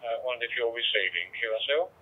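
A man's voice: medium pitch, fast talker, breathy edge. Man on a narrow, tinny radio link. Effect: two-way radio. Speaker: amateur radio operator. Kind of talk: radio call exchange. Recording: narrow phone-like digital radio transmission.

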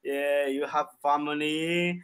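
Slow, halting Chinese-accented man. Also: a little high, level tone, fairly bright tone, clear, resonant tone. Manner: halting, simple broken English with repeated phrases. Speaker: English learner. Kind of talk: casual English practice conversation. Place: small room indoors, video call. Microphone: desk microphone.

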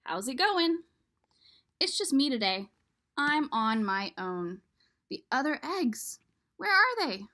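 A woman's voice: high voice, sing-song, slow pace, pauses often, pushed and bright, heavily acted. A woman voicing a worry-prone homebody egg from a picture book, reading expressively and chattily to the listener. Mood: chatty, easygoing. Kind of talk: expressive storybook read-aloud.

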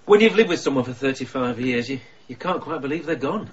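A man's voice: mid-range voice, lively intonation, a little fast. An actor playing a lonely, grieving older widower in a radio drama, homely and wistful in his way of talking. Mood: homely, dazed. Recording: slightly dull, clearly noisy recording.

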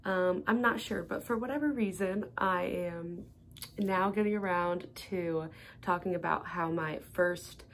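Soft-spoken hesitant young woman vlogging. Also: fairly low pitch, unhurried, slight background noise. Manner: hesitant, soft, many fillers. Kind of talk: casual vlog chat. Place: large indoor gym, then a small room at home. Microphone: phone camera close up.

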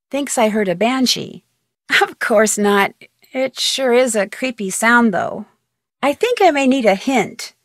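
An actress playing an inquisitive, friendly young American amateur detective in a video game, speaking clearly in an earnest, conversational way. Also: female voice, a little high, no echo, studio quality. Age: young adult. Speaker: player character of a detective game. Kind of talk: scripted video game dialogue.

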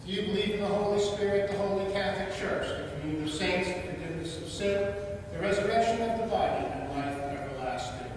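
An American clergyman leads a baptism in a formal, measured way, moving between solemn recitation and plain instructions. His fairly high voice is picked up from far off in a church, with heavy echo around it. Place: inside a large church. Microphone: distant camera in the pews. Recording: echoey church, far from microphone, noisy.

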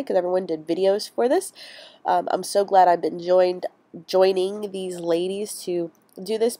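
A woman's voice: fairly low pitch, warm tone, no room sound. A woman with a cold and a stuffy nose, chatting casually over her craft video with many ums and restarts. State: congested from a cold. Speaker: crafting vlogger.

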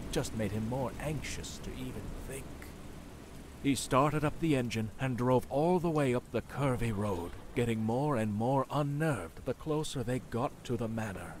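A man's voice: medium pitch, noisy background, lightly acted. A man playing a spooky narrator, telling a scripted horror tale with theatrical, ominous phrasing. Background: music.

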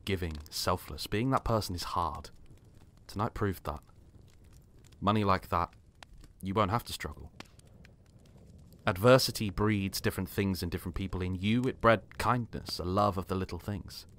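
A man's voice: sits low, normal speed, pauses often, fairly bright tone. A man playing a mysterious stranger, earnest, insistent and ominously knowing, in a dramatic script reading. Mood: grave, knowing.

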